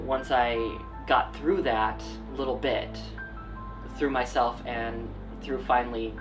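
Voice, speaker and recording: A young man, a college student, giving a candid, reflective personal testimony. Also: mid-range voice. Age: young adult. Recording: distant mic under music.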